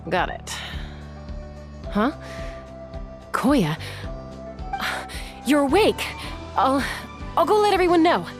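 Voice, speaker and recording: An actress playing a reserved young woman who works as a peacemaker in a video game, speaking slowly and hesitantly. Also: high-pitched, very animated, exaggerated voice. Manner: slow, hesitant, gravelly delivery. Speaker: game character. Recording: game audio with music underneath.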